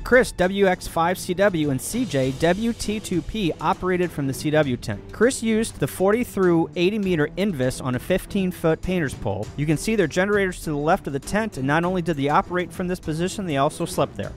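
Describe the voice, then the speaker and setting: Man, slightly high voice, video tour narration, faint music behind. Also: lively intonation, fairly quick, hardly any pauses. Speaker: video narrator and radio contest operator. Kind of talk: scripted narration and casual on-site tour.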